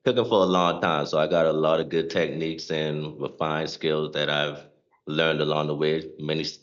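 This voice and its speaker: A man from South Carolina with a Gullah Geechee accent, chatting as a guest in a laid-back, halting way. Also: rumbling low, little pitch movement, lo-fi sound. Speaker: guest streamer and cook. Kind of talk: casual interview chat.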